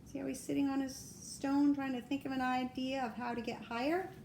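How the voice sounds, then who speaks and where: A woman reading a children's storybook aloud as a grandmother, expressively, pausing to ask the young listener simple questions. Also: high-pitched, little pitch movement, gravelly, darkish tone, slight background noise.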